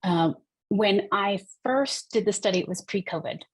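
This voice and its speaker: A woman speaking as a podcast guest, explaining research findings in a halting, thinking-aloud conversational way. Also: mid-range voice, breathy edge. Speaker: podcast guest, researcher in deaf healthcare access.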